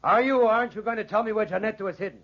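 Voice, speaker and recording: An actor playing a cornered, blustering villain, agitated and declamatory in 1940s radio drama style. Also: male voice, sits high, rapid-fire. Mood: demanding. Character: cornered, blustering villain, a desperate accused killer. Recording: dull old 1940s radio recording.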